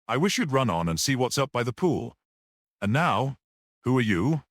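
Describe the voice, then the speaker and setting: A man voicing a brisk, suspicious police detective who questions sharply and gives orders in a story. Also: mid-range voice, sing-song, no room sound, studio quality, voice pushed up, lightly acted. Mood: urgent, commanding. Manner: sharp, interrogating.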